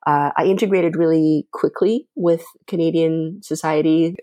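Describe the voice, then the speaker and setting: A woman's voice: low voice, steady tone, measured pace, mellow, dry recording. A young woman from China, a Mandarin native speaker, talking thoughtfully in an interview with many fillers and restarts. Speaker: podcast host, guest. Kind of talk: casual interview talk.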